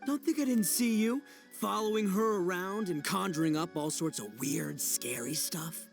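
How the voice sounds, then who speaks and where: A man's voice: sits high, moderate pace, creaky, music in the background. A man voicing a brash, boastful but good-hearted young oni in a video game, goofy and slangy. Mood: indignant.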